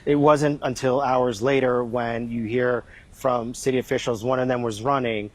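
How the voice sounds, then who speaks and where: A man's voice: mid-range voice, fairly even intonation, moderate pace, rough recording. A man reporting live as a news correspondent, speaking off the cuff and repeating phrases for emphasis.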